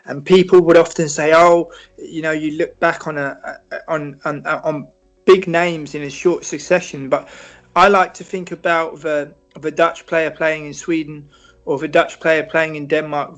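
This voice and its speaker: A British man answering interview questions in a measured, reflective way, often restarting and filling pauses. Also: on the high side, low-quality recording. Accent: British English. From Britain. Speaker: football writer and interview guest.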